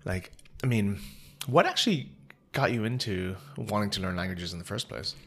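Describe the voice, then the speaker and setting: A man, hosting and telling relaxed stories in casual conversation. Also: fairly low pitch, normal speed, husky. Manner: relaxed storytelling, many fillers. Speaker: host.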